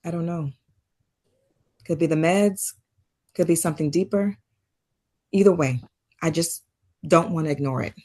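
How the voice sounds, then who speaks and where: Lowish-voiced actress as a composed woman therapist, weary yet caring, measured and firm, naturalistic drama read. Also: warm tone. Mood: troubled, reflective. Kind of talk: naturalistic table read acting.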